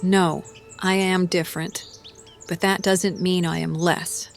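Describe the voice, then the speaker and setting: A woman acts a maimed but determined young female warrior in an audio drama. Her voice is a little low and very even, with slow, solemn phrasing over faint music. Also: vocal fry. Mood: resolute. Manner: measured, solemn, resolute.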